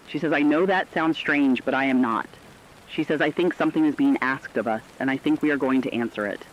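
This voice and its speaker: A man playing the steadfast host of a last-ditch radio station, reading a solemn, measured, poetic broadcast script. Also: fairly high pitch, muffled recording, a little hiss or hum, close to an everyday voice.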